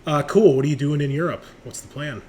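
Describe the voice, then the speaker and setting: A man's voice: on the high side, brisk, darkish tone. A man hosting a tabletop roleplaying show, chatting in a casual, informal and jokey way as he introduces things. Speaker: host and game master of a tabletop roleplaying show. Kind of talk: casual podcast introduction and banter. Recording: clearly noisy background.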